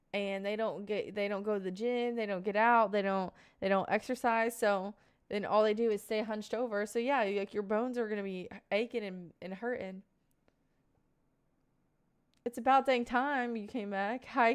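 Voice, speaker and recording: This is a young woman in her twenties talking to her viewers on a live stream. She answers chat questions in a casual, meandering way, full of fillers, and turns sincere when she offers life advice. Her voice is smooth and clear, sitting at an ordinary middle pitch. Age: 20s. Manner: rambling, filler-heavy, earnest advice.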